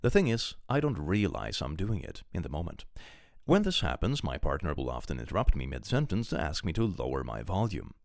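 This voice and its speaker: A young man streaming live with a powerful voice, reading posts aloud and chatting casually, often jumping into excited exclamations. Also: brisk, gravelly, dry recording, clean studio sound. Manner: animated, jumps into high excited exclamations.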